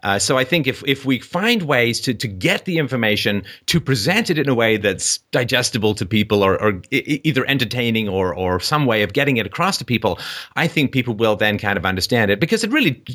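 A man hosting a podcast, giving earnest conversational commentary in a deliberate, emphatic, explanatory way. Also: medium pitch, very animated, fairly bright tone. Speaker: podcast host.

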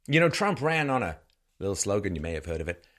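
Man, slightly low-pitched, forceful bright tone, sarcastic commentary, theatrical emphasis, rhetorical. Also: very animated, fast talker. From born in Ireland, grew up in England. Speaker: host of a political commentary show. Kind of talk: opinion commentary monologue.

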